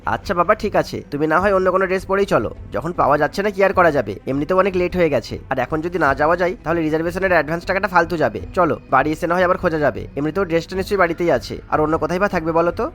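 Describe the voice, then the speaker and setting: A man's voice: fairly high pitch, rapid-fire, hardly any pauses, a bit bright, close to an everyday voice. A man voicing a reassuring young husband in a cartoon, talking in a coaxing, reasonable way.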